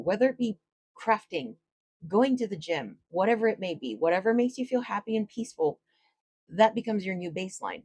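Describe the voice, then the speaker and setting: A woman with a low voice, a tarot reader gently explaining spiritual ideas in a casual, reflective talk to camera. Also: breathy edge.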